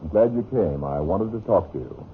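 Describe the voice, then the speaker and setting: A man's voice: low-pitched, expressive, moderate pace. A man playing a wronged husband and genial but pointed business partner in a vintage radio drama. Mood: cordial, pointed. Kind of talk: 1950s radio drama acting. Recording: muffled, hissy old radio recording.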